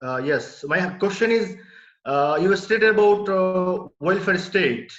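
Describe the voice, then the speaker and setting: A man's voice: high-pitched, lively intonation, measured pace, fairly bright tone. A man, a graduate student abroad, asking a humble, hesitant question on a call. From Bangladesh. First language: Bengali. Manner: humble, hesitant, many fillers. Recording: roomy, muffled call audio.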